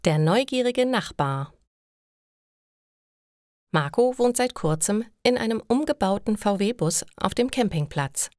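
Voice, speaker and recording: A woman reading scripted stories for language learners in a clear, deliberate narrating style. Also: mid-range voice, expressive, a little mellow, dry recording, clean studio sound.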